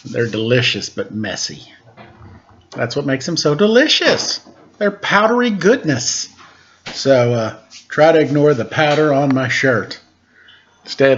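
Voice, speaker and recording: A man's voice: medium pitch, a little hiss or hum. Middle-aged man, playful storyteller, muffled sound. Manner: playful, jokey. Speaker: storytime host reading picture books. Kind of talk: casual chat and read-aloud storytelling to children. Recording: dull, lo-fi sound.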